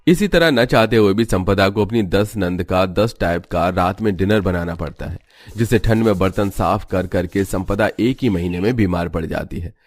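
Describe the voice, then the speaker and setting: Low-pitched man narrating a story. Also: normal speed, a little mellow, no room sound, clean studio sound. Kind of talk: scripted Hindi cartoon story narration.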